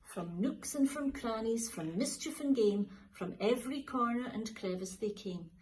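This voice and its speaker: A woman teacher reading a children's picture book aloud in a slow, lilting, expressive way. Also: a little high, a little hiss or hum, poor audio. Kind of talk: storybook read-aloud for children.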